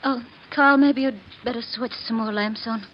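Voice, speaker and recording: An actress playing an uneasy, insistent wife in a 1940s radio mystery, speaking with urgent, pointed delivery. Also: female voice, a little high, fairly even intonation, moderate pace, close to an everyday voice. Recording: muffled, noisy 1940s radio recording.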